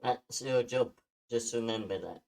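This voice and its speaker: A woman's voice: a bass voice, measured pace, vocal fry, a little mellow, full character voice. A woman playing a terse, commanding boss in an amateur screenplay table read. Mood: stern.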